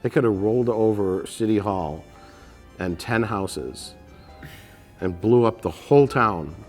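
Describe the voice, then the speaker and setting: A man's voice: fairly low pitch, animated, measured pace, darkish tone, soft background music. A man in his forties co-hosting a casual movie chat in a dim studio, rambling and laughing as he riffs. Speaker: co-host. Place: dim studio set with curtains.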